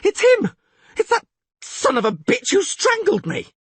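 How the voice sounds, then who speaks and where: A man puts on a flamboyant bard who tells things theatrically, with big, swooping pitch. The voice is husky and recorded dry and close. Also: huge pitch swings, moderate pace, no room sound, heavily acted. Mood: alarmed. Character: flamboyant male bard, dandyish and dramatic.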